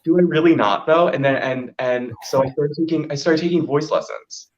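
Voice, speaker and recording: A man with a deep voice telling stories casually, as an interview guest who performs in drag. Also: moderate pace. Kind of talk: casual interview chat, storytelling. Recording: dull, lo-fi audio.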